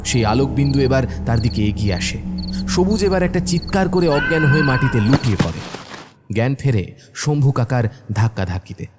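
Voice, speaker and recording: A man's voice: medium pitch, animated, a little fast, heavy background noise. A man narrating a scripted horror cartoon as a dramatic storyteller.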